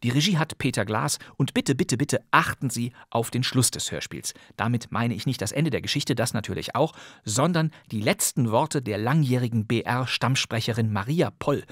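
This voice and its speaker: A man's voice: mid-range voice, expressive, normal speed, few pauses, no room sound, clean studio sound. A man hosting a podcast, giving a scripted, emphatic and slightly wry introduction. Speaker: podcast host. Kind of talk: scripted introduction of radio plays.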